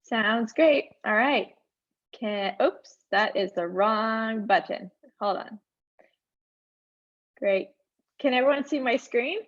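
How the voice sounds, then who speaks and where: A woman's voice: fairly high pitch, slow pace, long pauses, no echo, dull audio. A woman giving an upbeat, chatty webinar talk, her voice a little strained by allergies. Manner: upbeat, conversational, chatty asides. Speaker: conference speaker. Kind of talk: webinar presentation.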